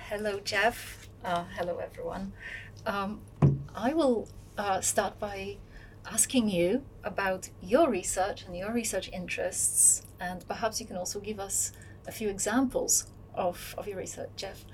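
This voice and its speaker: A woman taking over as moderator, asking questions in a slow, hesitant way. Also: mid-range voice, slight background noise, rough recording. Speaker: interview moderator.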